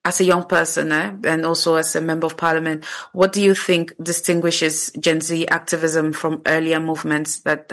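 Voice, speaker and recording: A woman's voice: deep voice, fairly bright tone. A woman hosting an interview podcast, welcoming her guest with effusive thanks and putting questions to her. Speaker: podcast host.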